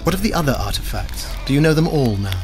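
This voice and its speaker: An actor playing a sly, arrogant, cynical court mage in a video game, speaking formally and smoothly with condescension. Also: male voice, mid-range voice, sing-song, quick pace, over music, lightly acted. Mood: measured, probing. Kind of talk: video game dialogue acting.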